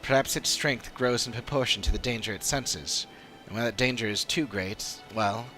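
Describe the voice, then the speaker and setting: A man voicing an earnest, analytical adventuring companion in a fantasy game, speaking in a measured, formal way. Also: medium pitch, sharp tone, soft background music, lightly acted, poor audio. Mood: thoughtful. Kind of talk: dramatic reading of game dialogue.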